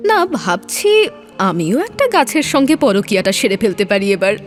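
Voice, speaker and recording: A woman playing a poet's teasing sweetheart in an audio drama, gently chiding and playful. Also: medium pitch, very animated, brisk, lightly acted. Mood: mischievous, mocking. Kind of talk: Bengali audio drama dialogue. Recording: music under the voice. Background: background music.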